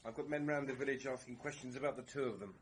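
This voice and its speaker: An actor playing a confident police inspector, clipped and sardonic, in a vintage radio drama. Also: male voice, medium pitch, fast talker, slight background noise, lightly acted. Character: dry, confident male Scotland Yard police inspector.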